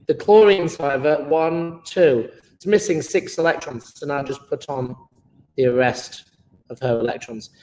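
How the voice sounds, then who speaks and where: A man's voice: fairly high pitch, unhurried, a little mellow, echoey room, rough recording. A British man teaching a live online chemistry lesson, playful and enthusiastic, joking with his pupils.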